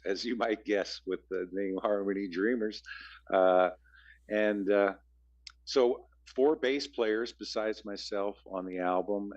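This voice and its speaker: Deep-voiced man with a low bass. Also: a little mellow, lo-fi sound. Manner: rambling, restarts often. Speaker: musician guest. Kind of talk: conversational interview answers.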